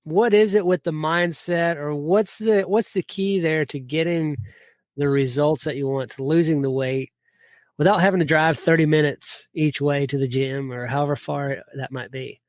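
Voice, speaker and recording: A man's voice: on the high side, fairly even intonation, moderate pace, a little mellow, no room sound, clean studio sound. A man hosting a podcast, upbeat and enthusiastic, often repeating words. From United States. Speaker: podcast host. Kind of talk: casual podcast interview.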